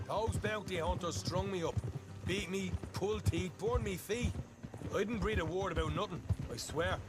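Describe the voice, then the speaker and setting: An actor playing a brash, boastful young western outlaw, chatty and self-justifying, in a video game cutscene. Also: male voice, sits high, moderate pace, very noisy, natural voice. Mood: earnest. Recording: game audio with loud outdoor ambience. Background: horse hooves, outdoor ambience.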